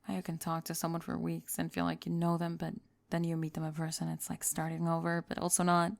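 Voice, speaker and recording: A woman's voice: low-pitched, monotone, quick pace, vocal fry, dry recording. A woman acting a famous young pop star on a date in an ASMR roleplay, confiding and hesitant, kind and playful but world-weary. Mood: playful, fond. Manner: confiding, hesitant, playful, trailing into soft murmurs. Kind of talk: intimate ASMR roleplay audio, one-sided date conversation.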